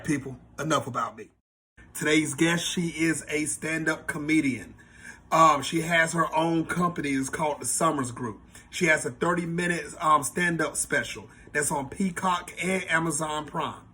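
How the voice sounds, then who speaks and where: A man hosts a podcast with a husky, slightly raspy voice that sits fairly high for a man. He talks in a loose, slangy way and reads off his intros with hype. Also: pushed and bright. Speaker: podcast host. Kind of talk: podcast interview.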